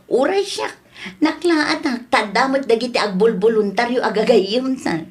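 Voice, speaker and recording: A woman playing a chatty, good-natured village wife and mother in a comedy radio drama, talking in an unhurried, conversational way. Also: a little high, animated, close to an everyday voice. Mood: chatty, easygoing.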